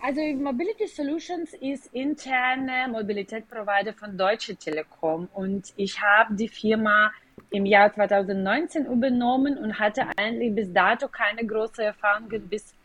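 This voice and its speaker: A woman's voice: on the high side, normal speed, fairly bright tone, poor audio. Woman with a Ukrainian accent. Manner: explains at length in slightly non-native German with hesitations. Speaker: guest, company executive. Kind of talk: podcast interview.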